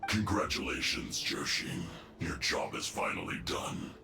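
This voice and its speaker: Game henchman: rumbling low, gravelly, sneering, menacing, echoing over loud music. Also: sing-song, unhurried, exaggerated voice. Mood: taunting. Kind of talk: video game voice acting.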